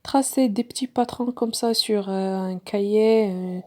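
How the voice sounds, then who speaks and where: A woman's voice: medium pitch, unhurried, a little mellow. A woman who is not a native French speaker, giving a step-by-step sewing tutorial in a hesitant way, with many fillers and restarts. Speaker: sewing instructor.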